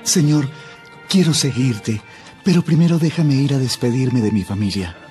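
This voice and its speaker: A man's voice: mid-range voice, lively intonation, normal speed, a little mellow, faint music behind, natural voice. A man playing an eager but hesitant would-be follower in an audio Bible drama. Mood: pleading.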